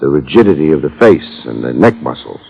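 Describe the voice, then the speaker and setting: An actor playing a wry, tough private detective in a 1950s radio drama, sardonic and slangy in both narration and dialogue. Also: male voice, very deep voice, very animated, brisk, creaky, slightly rough, heavily acted. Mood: grim, certain. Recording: muffled old radio recording with music.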